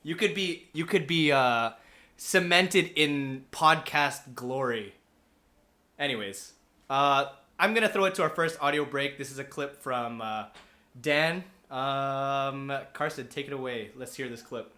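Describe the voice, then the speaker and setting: A man co-hosting a podcast, chatting casually with a halting delivery full of fillers. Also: mid-range voice, pushed and bright. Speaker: podcast co-host. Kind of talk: casual chat.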